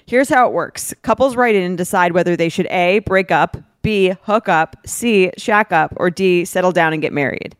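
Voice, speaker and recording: A woman's voice: fairly low pitch, normal speed, hardly any pauses, clear, resonant tone, no echo, clean studio sound. A woman co-hosting a podcast, reading a script aloud with some casual banter. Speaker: podcast co-host.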